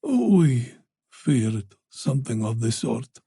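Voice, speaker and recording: A man voicing a tired, reluctant older husband who speaks softly and slowly. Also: mid-range voice, big pitch swings, gravelly, slightly rough, dry recording, clean studio sound, heavily acted. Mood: weary. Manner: soft and slow.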